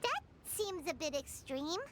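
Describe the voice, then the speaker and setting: Tiny squeaky childlike fairy girl. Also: very animated, a little slow, heavy background noise, exaggerated voice. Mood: surprised, puzzled. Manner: childlike, refers to self in third person. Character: small floating fairy companion, childlike, excitable, chatty girl. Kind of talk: video game voice acting.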